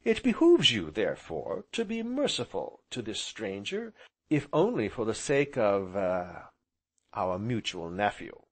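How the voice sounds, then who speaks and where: A man as a placid, dreamy, courtly gentleman. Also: medium pitch, sing-song. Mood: grave, courtly. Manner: slow, placid, gravely courteous. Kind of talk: audiobook character voice.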